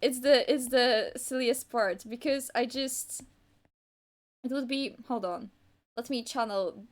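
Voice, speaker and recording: A woman's voice: on the high side, slow pace. A young woman whose first language is Polish, chatting casually on an art livestream while she draws, wandering and hesitant with lots of restarts and fillers. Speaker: art streamer.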